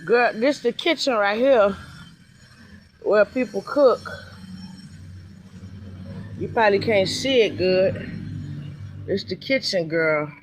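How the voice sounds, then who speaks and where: A woman's voice: mid-range voice, slight background noise, rough recording. A woman giving a casual, chatty tour of where she lives. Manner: slow, chatty, calling viewer girl.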